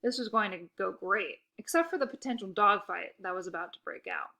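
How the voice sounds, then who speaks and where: Animated, bright-voiced woman reading a storybook. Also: medium pitch, a little fast, creaky. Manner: expressive, occasional stumbles over words. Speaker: storybook reader. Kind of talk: animated children's book narration.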